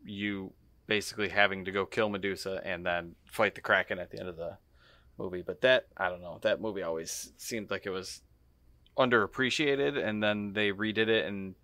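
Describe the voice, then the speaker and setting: A man co-hosting a podcast in casual chat, talking in a halting, searching way. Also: fairly low pitch, fairly even intonation, bright, forceful tone, dry recording. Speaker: podcast co-host.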